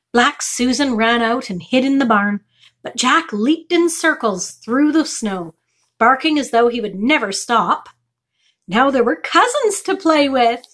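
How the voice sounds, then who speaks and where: A woman's voice: on the high side, fairly bright tone. A middle-aged woman reading a children's chapter book aloud as a storytime reader. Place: small furnished room indoors.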